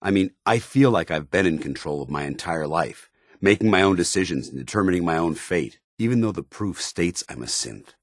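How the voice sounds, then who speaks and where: An actor playing an earnest, honour-bound soldier paladin in a video game, with a firm voice and grave, formal, deliberate delivery. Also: male voice, low voice, expressive, dry recording, studio quality. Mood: troubled. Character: earnest, honour-bound military paladin, a synthetic man troubled by his identity. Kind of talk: dramatic video game dialogue.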